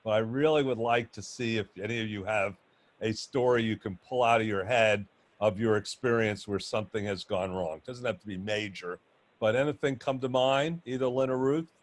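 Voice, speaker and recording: Loud, rambling male panel moderator. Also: lowish voice, moderate pace. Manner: loud, chatty, rambling with restarts. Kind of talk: panel discussion on video call. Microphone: video call.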